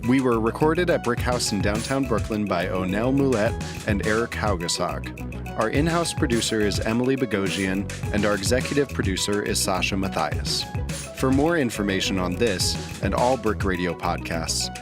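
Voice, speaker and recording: A man's voice: lowish voice, animated, moderate pace. A man announcing scripted podcast production credits. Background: guitar music.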